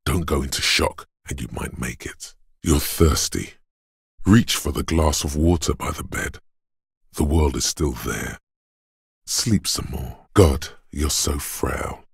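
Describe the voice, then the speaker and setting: Grim inner body voice from a video game, super raspy, slow and ominous, close dry sound. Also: male voice, no echo, full character voice. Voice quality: raspy, gravelly, very deep. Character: grim, gravelly inner voice of a body's endurance. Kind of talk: scripted second-person game narration.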